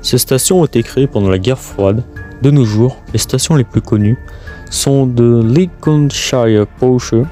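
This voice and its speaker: A man reading a scripted documentary narration as presenter, in a halting way with stumbles. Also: mid-range voice, warm tone. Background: music.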